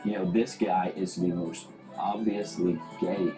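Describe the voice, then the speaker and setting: A reality show contestant speaking in a confessional, matter-of-fact and scheming. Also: male voice, deep voice, fairly even intonation, measured pace, roomy sound. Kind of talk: confessional interview. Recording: TV audio replayed with loud music.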